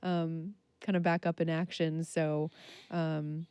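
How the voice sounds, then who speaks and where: A woman hosts a radio music hour in a chatty, loose way, leaning on fillers as she asks questions. Her voice is smooth and clear and sits a little low. The studio mic is close and dry. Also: level tone, takes it slowly, darkish tone, no room sound. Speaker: radio host. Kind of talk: casual radio interview.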